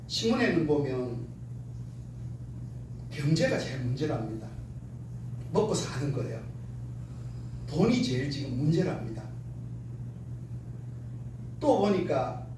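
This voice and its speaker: A man preaching as a guest in church, his voice hoarse from a cold, speaking earnestly and deliberately to the congregation. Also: a little high, warm tone. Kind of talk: church sermon. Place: church sanctuary during Sunday service. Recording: very echoey church hall, distant microphone, noisy.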